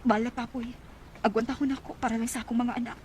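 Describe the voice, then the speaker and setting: A woman's voice: a little high, level tone, fast, a little mellow, slight background noise, natural voice. A woman playing a poor, overburdened mother fed up with her irresponsible husband, acting in a Filipino radio drama with a Cebuano accent. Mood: weary, hopeful.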